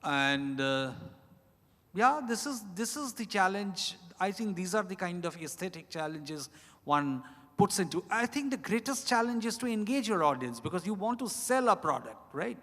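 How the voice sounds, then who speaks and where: A man speaking as an author on a panel, answering off the cuff in a reflective, halting way, phrase by phrase. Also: high voice, big pitch swings, fairly bright tone. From India. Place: large indoor event hall at a hotel. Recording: echoey event hall, long reverb.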